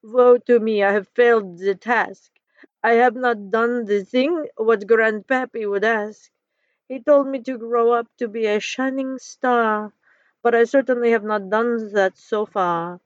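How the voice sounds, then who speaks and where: A woman's voice: fairly high pitch, very animated, measured pace, no echo, highs cut off, voice pushed up. A woman voicing a childlike, dramatic, anxious young male frog in a story, with a whiny, theatrical manner. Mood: dramatic, woeful. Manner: whiny, theatrical, stuttering when scared.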